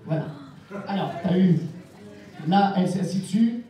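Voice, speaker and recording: A man's voice: high voice, normal speed. A man working as a stage hypnotist, giving suggestive commands to a volunteer during a live show in a large hall. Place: large hall at a school gala. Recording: echoey hall from far off, loud crowd. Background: large student audience.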